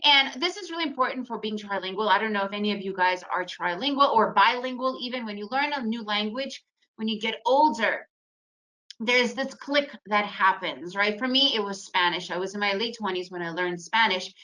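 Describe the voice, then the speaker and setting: A woman's voice: mid-range voice, a little fast, bright, forceful tone, low-quality recording. A woman in her forties, raised in the United States and a native Russian speaker, presenting a trading webinar in a chatty storytelling way. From Ukraine, raised in the United States. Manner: chatty, storytelling, addresses audience with "right?". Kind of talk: casual presentation.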